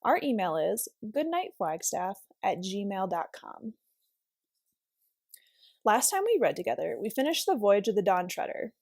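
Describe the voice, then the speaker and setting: A woman's voice: mid-range voice, big pitch swings, a little slow, darkish tone, dry recording, clean studio sound. A woman reading aloud as a volunteer storyteller, narrating a children's novel expressively.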